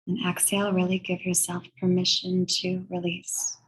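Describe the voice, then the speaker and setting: A woman leading a guided meditation in a soothing, measured, breathy way, leaving long pauses between phrases. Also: lowish voice, completely flat delivery, low-quality recording. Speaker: guided meditation and yoga teacher.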